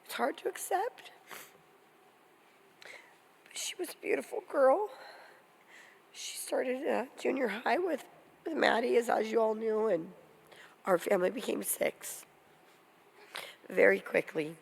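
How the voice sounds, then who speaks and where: A middle-aged woman speaks at a memorial service in a fairly high, gravelly voice with a husky edge. Her delivery is halting and shaky, as if holding back tears. She speaks into a microphone. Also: animated. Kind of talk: memorial remembrance speech.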